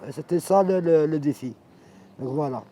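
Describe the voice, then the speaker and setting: A man in his fifties from Morocco, an Arabic speaker, telling his life story in a reflective, halting way. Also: fairly high pitch, warm tone. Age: 50s. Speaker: interviewee. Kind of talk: personal life testimony.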